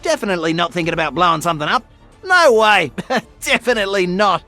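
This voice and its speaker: A man's voice: high voice, lively intonation, fast talker, bright, forceful tone, faint music behind. An actor playing a manic, scheming male demolitions maniac in a video game, cackling and muttering to himself. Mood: sneaky. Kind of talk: video game character acting.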